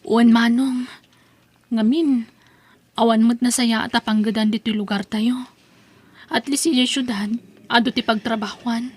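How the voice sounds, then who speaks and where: A woman's voice: on the high side, fairly even intonation, unhurried, pauses often, slightly rough, no echo. A woman playing a humble, struggling young mother in an Ilocano audio drama. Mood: earnest.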